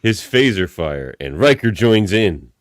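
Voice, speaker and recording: A man's voice: lowish voice, big pitch swings, measured pace, background noise. A man narrating a comic radio play, reading out scene directions as a podcast narrator.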